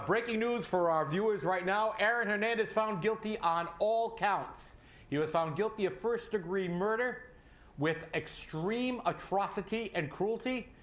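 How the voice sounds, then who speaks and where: Higher-voiced man reading breaking news. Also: a bit bright. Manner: hesitant, many filler sounds. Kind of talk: news report then commentary.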